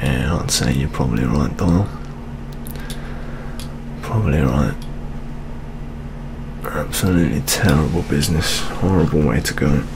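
A man performs a world-weary British police detective for an ASMR crime roleplay. His low voice crackles with fry and moves slowly and deliberately. Steady rain noise runs behind the hushed delivery. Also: full character voice. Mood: grim, resolved. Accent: British English. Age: 20s. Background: rain sounds.

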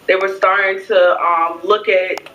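A woman's voice: medium pitch, flat delivery, measured pace, roomy sound, far from the mic, muffled recording, background noise. A young adult woman telling a personal story casually to camera, speaking emphatically and repeating phrases for stress. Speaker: vlogger. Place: home kitchen, indoors.

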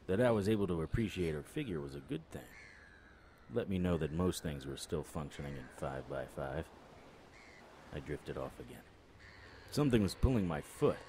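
A man playing a wry, world-weary detective narrating a noir audio drama in clipped, deadpan phrases. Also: a bass voice, moderate pace, long pauses, noisy background, exaggerated voice. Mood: groggy, musing.

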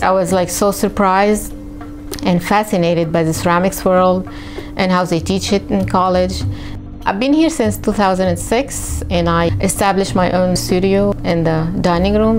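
A woman in her forties from Lebanon, a ceramic artist telling her story in an unhurried, reflective way with frequent fillers, recorded on a lapel mic in her home studio. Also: medium pitch. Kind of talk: interview storytelling. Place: home studio in a dining room. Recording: music bed behind the voice.

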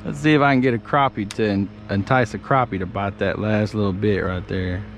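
A man, talking casually to viewers while fishing from a small boat outdoors, hollering with excitement when fish bite. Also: on the low side, fairly quick, slight background noise. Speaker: fishing vlogger. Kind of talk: casual fishing vlog talk.

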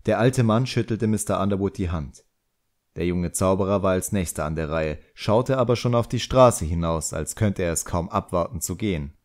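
A man's voice: deep voice, moderate pace. A man reading a fantasy novel as scripted audiobook narration.

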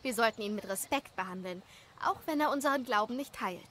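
Firm village woman, as acted for storybook audio: higher voice, matter-of-fact, faint background noise. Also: expressive, brisk, close to an everyday voice. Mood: reproving.

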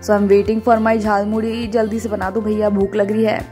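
A young woman narrates her travel vlog in an informal, chatty style. Her voice sits at an ordinary female pitch and speaks straight to the viewers. Music plays behind her. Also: flat delivery, moderate pace, mellow. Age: 20s. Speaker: travel vlogger. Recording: music under the voice. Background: background music.

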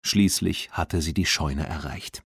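Male audiobook narrator, low pitch, expressive children's storytelling, clear enunciation, dry recording. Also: measured pace, dry recording.

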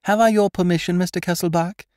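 A man voicing a mocking gentleman thief. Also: high-pitched, fairly even intonation, dry recording, studio quality, voice pushed up, lightly acted. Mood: gleeful. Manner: brisk, mocking, triumphant taunting. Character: cocky gentleman thief, adult man, gleeful schemer. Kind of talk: audiobook character voice.

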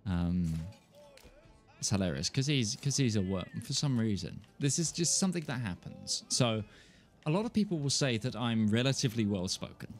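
A man's voice: mid-range voice, sing-song, moderate pace, faint music behind. A young man from southern England with a mild Kentish accent and a nasal voice, rambling and joking at himself as he chats to viewers while playing a game at home. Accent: southern English, mild Kentish. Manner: rambling, self-mocking, laughs often. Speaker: streamer. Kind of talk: casual live-stream chat while playing. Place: indoor home room, gaming chair. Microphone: desk mic in front of him.

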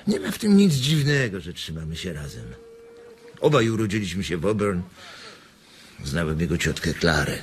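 An actor playing a weary, protective and stern farm labourer in a radio drama, plain-spoken and firm. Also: male voice, low-pitched, very animated, moderate pace, long pauses, a little hiss or hum. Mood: weary, reflective.

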